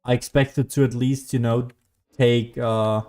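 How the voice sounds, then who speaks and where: A man's voice: medium pitch, flat delivery, unhurried, dark tone. A man giving casual, rambling video game commentary, often losing his train of thought like a streamer talking as he plays.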